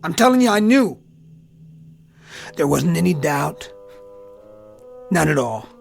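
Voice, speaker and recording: An American actor playing a haunted, weary young army lieutenant in a radio drama, speaking with brooding, halting intensity. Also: male voice, on the high side, big pitch swings, creaky, soft background music, exaggerated voice. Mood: insistent.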